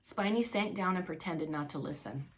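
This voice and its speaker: A woman reading a story aloud to young children as a preschool teacher, expressive, with playful asides. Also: fairly low pitch, moderate pace, a little hiss or hum. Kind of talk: animated read-along children's storytelling.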